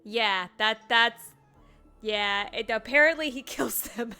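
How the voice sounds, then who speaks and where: Bright, high-pitched woman streaming a game. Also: takes it slowly, clear, resonant tone. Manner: chatty, informal. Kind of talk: casual livestream chat. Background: game music.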